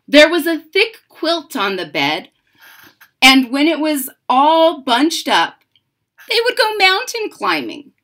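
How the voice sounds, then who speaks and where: Animated middle-aged woman reading to children. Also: high-pitched. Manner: dramatic, deliberate, explains things simply to young listeners. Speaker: storyteller reading a picture book aloud to children. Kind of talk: expressive children's read-aloud with explanatory asides. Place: indoors, small home room.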